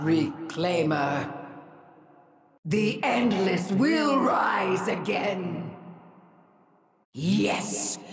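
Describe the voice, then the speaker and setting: Casting: imperious female alien game villain, menacing and grandiose, extremely drawn-out delivery, gravelly edge. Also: fairly low pitch, roomy sound, full character voice. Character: vengeful, imperious female alien herald of an ancient race. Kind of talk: dramatic video game voice acting.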